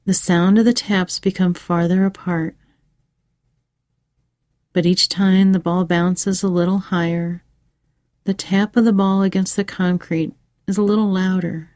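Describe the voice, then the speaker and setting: A woman narrating a scripted guided meditation for children in a measured, soothing way with pauses. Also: lowish voice, flat delivery, a little mellow, dry recording, studio quality.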